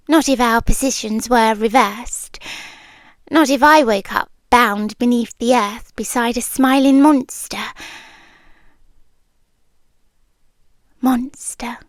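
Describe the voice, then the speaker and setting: Actress as ancient female monster, British, possessive and lovelorn. High, very slow, intimate ASMR delivery, often whispered, close on mic. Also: dry recording. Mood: brooding, menacing. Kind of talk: yandere roleplay ASMR. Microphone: close microphone for headphones.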